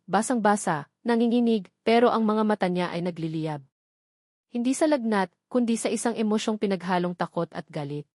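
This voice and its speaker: A woman's voice: mid-range voice, a little mellow, no room sound, clean studio sound. A woman narrator reading a scripted romance story in a dramatic storytelling style.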